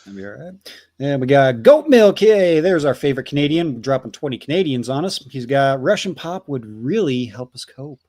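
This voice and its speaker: A man's voice: mid-range voice, very animated, normal speed, darkish tone. A man hosting a casual livestream, reading out viewer donations and messages in a chatty, swearing manner.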